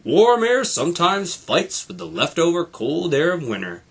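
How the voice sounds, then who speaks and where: A man's voice: on the high side, huge pitch swings, moderate pace, breathy edge, pitched above the performer's own voice, heavily acted. A man voicing a helpful, knowledgeable talking airplane radio that acts as a guide in a children's storybook. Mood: informative.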